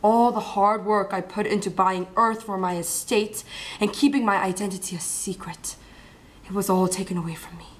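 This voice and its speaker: A woman's voice: mid-range voice, fairly even intonation, moderate pace, lightly acted. A woman playing a scheming, power-hungry male vampire count in an amateur dramatic monologue. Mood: bitter, brooding.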